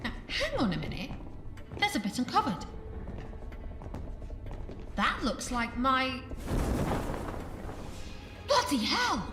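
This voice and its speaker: A woman plays a sceptical, bossy ghost-show presenter in an audio drama. Her high, animated voice is brisk and indignant, with roomy echo and music behind. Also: exaggerated voice. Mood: shocked.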